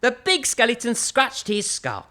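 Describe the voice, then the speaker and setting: A woman's voice: a little high, huge pitch swings, a little slow, over music. A woman storyteller reading a children's storybook expressively, with theatrical, emphatic phrasing.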